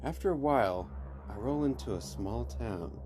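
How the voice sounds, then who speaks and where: A man reading creepy stories as a podcast host, in scripted narration with measured, deliberate phrasing and dramatic pauses. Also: mid-range voice, animated, warm tone. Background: faint music.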